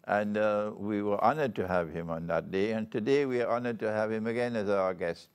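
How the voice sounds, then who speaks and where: An older man with a Trinidadian accent hosts an interview show, speaking in a formal, measured and deliberate manner. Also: lowish voice, fairly even intonation, clean tone. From Trinidad and Tobago. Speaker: talk show host. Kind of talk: formal guest introduction and interview.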